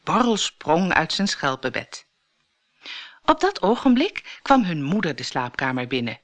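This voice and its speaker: A woman narrates a children's story from a script. Her voice sits a little low and moves with lively, expressive intonation. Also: a little slow.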